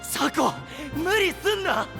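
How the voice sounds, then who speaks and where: High, animated, boyish young hero. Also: male voice, a little slow, gravelly, over music, heavily acted. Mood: determined. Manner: boyish, casual, hot-blooded. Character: energetic, reckless young male hero, good-natured. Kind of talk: Japanese anime-style voice drama acting.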